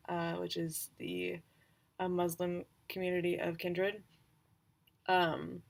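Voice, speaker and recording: A woman's voice: fairly low pitch, creaky, a bit bright. A woman informally explaining things on a casual tabletop roleplaying livestream, thinking aloud as she goes. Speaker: tabletop roleplayer presenting characters.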